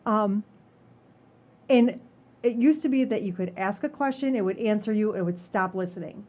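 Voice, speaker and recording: Woman, medium pitch, podcast co-host, casual conversational delivery. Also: normal speed, darkish tone. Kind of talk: casual chat.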